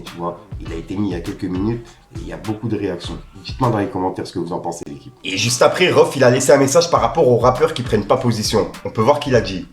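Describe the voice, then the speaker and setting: Man, rap news host, low voice, slangy and casual, roomy echo. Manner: casual street slang, reads posts aloud.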